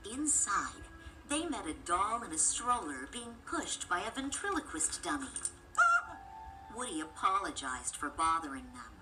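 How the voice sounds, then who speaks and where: Female children's story narrator: scripted, expressive, lively pitch, music underneath. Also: unhurried, rough recording.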